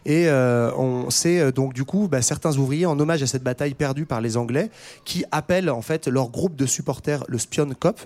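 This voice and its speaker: A man explaining history in a relaxed podcast conversation, with many fillers and restarts. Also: mid-range voice, moderate pace. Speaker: podcast co-host. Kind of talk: casual history discussion.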